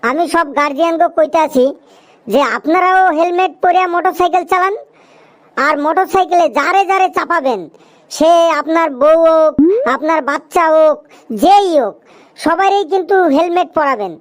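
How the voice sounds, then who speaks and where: Chatty young cartoon pal, extremely high-pitched, eastern Bengali dialect, earnest, scolding, colloquial. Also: normal speed. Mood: earnest, preachy. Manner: preachy, emphatic, colloquial. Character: talkative food-loving young cartoon friend, earnest and scolding. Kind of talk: cartoon dialogue with moral advice.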